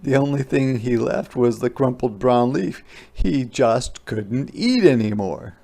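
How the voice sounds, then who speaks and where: A man reading a storybook aloud as a teacher, expressively, pausing to explain words simply for children. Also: mid-range voice, animated, gravelly, mellow.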